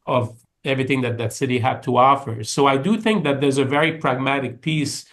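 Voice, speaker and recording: French canadian guy talking through his answers, lots of uh and restarts, middle pitch. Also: brisk, a bit bright. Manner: thoughtful, many restarts and fillers. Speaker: orchestra executive, guest. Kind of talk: conversational interview answers.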